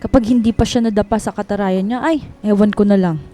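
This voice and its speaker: A woman's voice: medium pitch, quick pace, dark tone, faint music behind, close to an everyday voice. An actress playing a supportive, concerned female office friend in a radio drama, chummy in manner. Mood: indignant.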